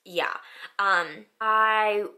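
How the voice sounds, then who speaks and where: Raspy chatty young woman. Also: mid-range voice, steady tone, drawn-out words, bright, forceful tone. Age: young adult. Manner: chatty, filler-heavy with frequent "like" and "um". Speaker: vlogger. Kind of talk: casual facts-about-me vlog.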